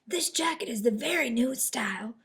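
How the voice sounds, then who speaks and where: Hushed, obliging storybook tailor played by a woman. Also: a little high, expressive, moderate pace. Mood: persuasive. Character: smooth-talking, obliging male tailor.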